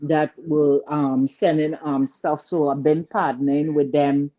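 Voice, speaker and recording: A woman from Trinidad with a Trinidadian accent, chatting casually in an animated, colloquial way. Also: low-pitched, measured pace, dull audio. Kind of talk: casual chat.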